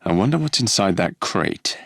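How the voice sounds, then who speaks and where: An actor with an English accent playing a cocky, roguish young Victorian gang leader in a video game, with a cheeky, wry, theatrical manner. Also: male voice, sits low, animated, moderate pace, vocal fry, no room sound, clean studio sound, full character voice. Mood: focused, brisk.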